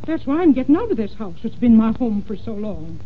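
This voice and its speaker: An actress playing a superstitious, stubborn older housekeeper with folksy, rustic dialect in an old-time radio drama. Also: female voice, fairly high pitch, big pitch swings, a little fast. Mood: spooked, insistent. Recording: tinny, noisy old radio recording.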